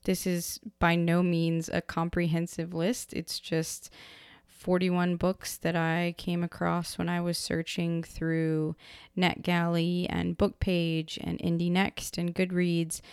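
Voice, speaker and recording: A woman hosting a casual book podcast, chatty and rambling, her voice hoarse from being sick. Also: lowish voice, a little mellow, dry recording, studio quality. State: hoarse from illness. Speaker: podcast host.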